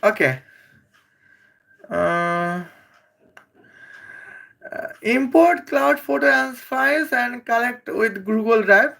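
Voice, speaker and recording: A speaker from Bangladesh who speaks English as an after Bengali, giving a rambling, halting comedic roast full of hesitations. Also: mid-range voice, animated, gravelly, fairly bright tone. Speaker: video creator.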